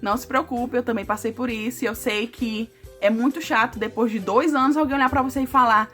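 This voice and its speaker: A woman's voice: a little high, steady tone, a little fast, a bit bright, faint music behind. A young Brazilian woman with a Brazilian Portuguese accent, chatting casually to her viewers, often adding "né" and "sabe". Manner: chatty, addresses viewers as "gente", frequent "né" and "sabe". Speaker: vlogger. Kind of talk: casual chat to camera.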